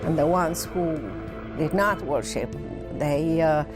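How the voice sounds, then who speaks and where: A woman from Romania, earnestly recounting her own life in an interview and pausing now and then to find words. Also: deep voice, music in the background. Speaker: interview guest. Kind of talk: recounting personal testimony.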